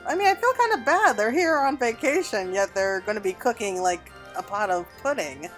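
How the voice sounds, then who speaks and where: A woman's voice: a little high, expressive, moderate pace. A woman giving casual commentary as she plays through a video game. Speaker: gaming video host. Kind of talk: casual game playthrough commentary, reading dialogue aloud. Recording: background music under the voice.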